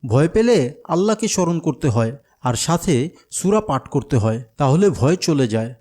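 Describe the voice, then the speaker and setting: Cartoon older companion, male performer: patient, coaxing, Bangladeshi accent, soft-edged lighter-pitched voice. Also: big pitch swings, normal speed. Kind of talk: cartoon dialogue.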